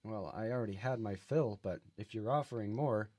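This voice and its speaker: A man's voice: fairly low pitch, a little fast, mellow, dry recording, voice pushed down, natural voice. A man playing an earnest, bewildered amnesiac newcomer in an improvised radio comedy drama. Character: bewildered amnesiac male newcomer to a space prison.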